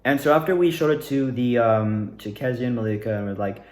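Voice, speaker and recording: A young adult man with a mid-pitched voice talks in a casual, rambling way, as if recounting things to viewers. His delivery is animated and informal, and the sound is roomy and echoey. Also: a little fast. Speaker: amateur student actor reviewing own performances. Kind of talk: casual rambling recap, self-interview.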